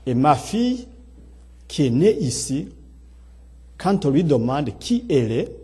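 Middle aged guy, burundian accent, really husky mellow voice, giving an earnest speech, kinda halting. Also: fairly high pitch, sing-song. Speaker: speaker at a public talk on integration. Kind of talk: public speech, partly improvised.

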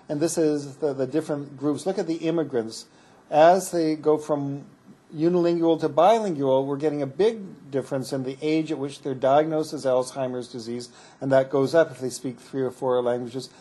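Brief: male medical researcher at a lectern, explanatory and halting, mellow timbre. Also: on the high side, breathy edge. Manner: explanatory, frequent restarts and fillers. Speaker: medical researcher presenting findings. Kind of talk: academic conference talk with Q&A.

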